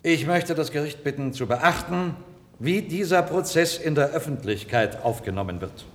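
A man playing an eloquent male defence lawyer in a radio play. His fairly high voice moves animatedly through emphatic, formal arguments. It rings through a reverberant hall. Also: animated, moderate pace, close to an everyday voice. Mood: solemn, pleading. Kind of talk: radio drama courtroom oratory.

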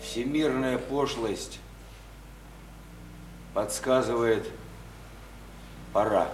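A man's voice: medium pitch, very slow, over music. An actor playing a drunken, educated man who is scornful, bullying and self-pitying, in a theatrical, declamatory radio play style. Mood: insistent, menacing. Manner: contemptuous, declamatory, volatile. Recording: roomy, distant, noisy stage-like radio play recording.

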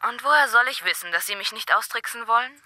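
A woman's voice: a little high, fast, sharp tone. A performer, heard as female, playing a wary, frightened teenage boy on the run in a German radio drama.

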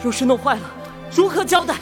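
Casting: spirited 1930s young gentleman, proud and theatrical, for period radio drama, set over music. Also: very high voice, animated, a little fast. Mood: stern. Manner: old-fashioned, theatrical turns of phrase. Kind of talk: Chinese period audio drama acting. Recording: music behind the voice.

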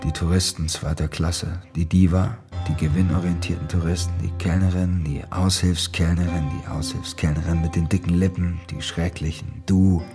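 Low, gravelly brooding roll-caller. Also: male voice, level tone, normal speed, hardly any pauses, over music. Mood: incantatory. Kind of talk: incantatory radio play delivery.

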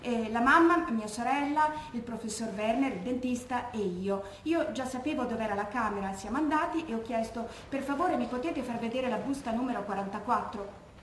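An actress playing an educated, composed woman giving measured testimony about grief for her father in a theatre monologue. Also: a little high, lightly acted. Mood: intent, remembering. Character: educated daughter recalling her father's wartime death, composed, grieving. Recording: echoey room with background noise.

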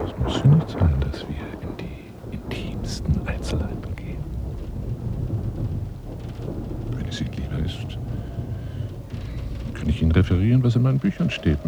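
Gravelly, wildly expressive lonely bookworm. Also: male voice, sits low, noisy background, heavily acted. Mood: coy, confiding. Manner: theatrical, rambling, insinuating, often dropping to near-whisper. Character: lonely, fat, bookish man, self-important rambling dreamer on telephone. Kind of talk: radio drama monologue.

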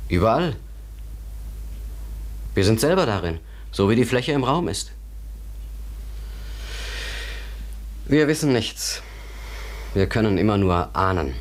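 Deep thinker guy in a radio play, low voice, deliberate, explains stuff in a contemplative way. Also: very animated. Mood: grave, contemplative. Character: philosophical man pondering another world, thoughtful companion. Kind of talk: literary German radio drama acting.

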